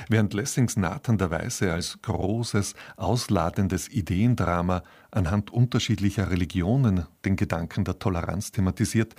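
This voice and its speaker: Older-style formal radio intro from a guy, very low husky voice, measured and emphatic. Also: a little mellow. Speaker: radio presenter. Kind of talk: scripted introduction to a radio play.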